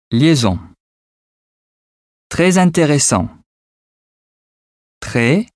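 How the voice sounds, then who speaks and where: A man narrating a language course, reading words and headings very slowly with deliberate articulation. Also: mid-range voice, no echo, studio quality. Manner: very slow, deliberate, exaggerated articulation. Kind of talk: scripted pronunciation lesson reading.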